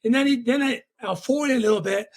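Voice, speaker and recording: A middle-aged man from Tonga telling his life story as an interview guest, reflective and warm, with a constant "you know.". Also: very high voice, fast.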